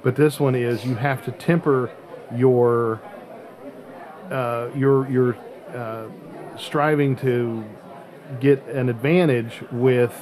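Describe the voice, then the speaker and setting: A man hosts a casual review chat at a middling pitch. He speaks haltingly, with wordy, careful explanations. A noticeable bustle of people sits behind his voice. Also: fairly even intonation, a crowd in the background. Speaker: host of a hobby game podcast.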